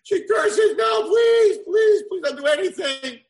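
Guy putting on a desperate young prince, squeaky and shouty, lots of swoops, echoey room. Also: expressive, a little slow, a faint crowd. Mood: pleading. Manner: shrill pleading and shouting. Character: young male prince turned kitchen slave, desperate and defiant.

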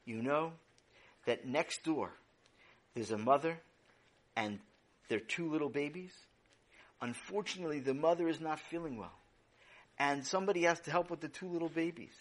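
A man's voice: a little high, moderate pace, pauses often, lightly acted. A man doing a patient, firm mother.

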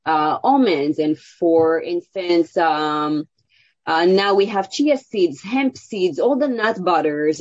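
A woman's voice: fairly low pitch, no room sound. A woman chef and cookbook author answering interview questions, telling her story with enthusiasm and many fillers, in English. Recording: dull, dry audio.

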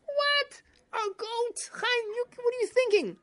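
A woman voicing a poor, desperate wife who pleads with her husband in a shrill, exclaiming voice. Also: a little slow, fairly bright tone. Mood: alarmed.

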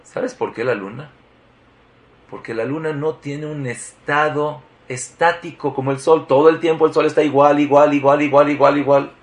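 A man's voice: fairly high pitch, fairly even intonation, normal speed. Guy giving a torah talk, keeps repeating stuff, asks the crowd questions. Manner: emphatic, repetitive preaching with rhetorical questions. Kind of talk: sermon.

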